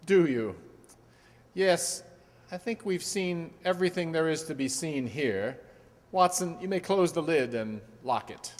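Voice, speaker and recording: A man playing a shrewd, cool gentleman detective, precise and commanding, in old-time radio mystery style. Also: a little high, expressive, measured pace. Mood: cool, probing. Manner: precise, commanding, dryly polite. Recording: echoey stage hall.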